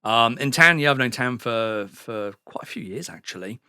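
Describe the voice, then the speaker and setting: A man's voice: mid-range voice, lively intonation, moderate pace, sharp tone, clear, resonant tone, dry recording, studio quality. A man from the UK, a podcast guest in a sauna house, chatting casually and rambling with many fillers and restarts. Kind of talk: casual chat.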